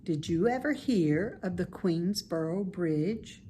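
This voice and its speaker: A woman playing a wise, calm, self-assured female spider in a children's storybook, speaking in a measured, instructive way. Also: lowish voice, a little hiss or hum. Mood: consoling. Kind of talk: children's storybook read-aloud.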